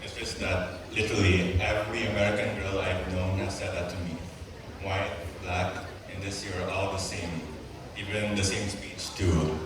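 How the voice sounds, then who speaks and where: A young man acting a courteous, self-assured, gently teasing young Nigerian student in an amateur stage play. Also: sits low, flat delivery, normal speed, background noise, lightly acted. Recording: echoey hall from far off, music behind.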